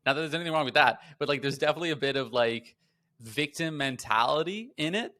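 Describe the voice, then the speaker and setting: A bright, forward-voiced man talking casually on a podcast. He rambles with lots of fillers and keeps breaking into quick imitations of other people. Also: medium pitch. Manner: chatty, lots of fillers, laughs and mimics people. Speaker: podcast co-host.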